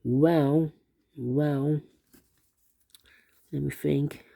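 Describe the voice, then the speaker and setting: A man's voice: on the high side, fairly even intonation, drawn-out words, gravelly, warm tone. A man explaining a sudoku step by step, thinking aloud and repeating the numbers to himself. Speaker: sudoku instructor. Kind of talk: thinking aloud while solving a puzzle.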